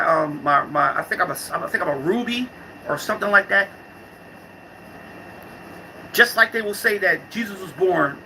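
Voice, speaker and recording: Casting: male vlogger, very bright forceful voice with gravelly fry, loose profane rambling, slangy and irreverent. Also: high-pitched, slight background noise, poor audio. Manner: repeats and restarts phrases often. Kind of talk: rambling casual monologue with comic riffs.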